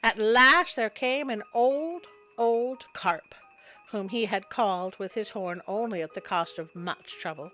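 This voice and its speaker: A woman's voice: medium pitch, unhurried, pushed and bright, soft background music. A woman storyteller narrating a folktale expressively, with wry spoken asides. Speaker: storyteller, host.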